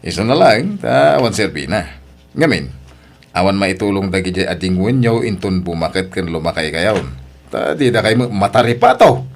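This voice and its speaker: A man's voice: low voice, animated, moderate pace, vocal fry. A man with an Ilocano accent voicing a boastful middle-aged father in an audio drama.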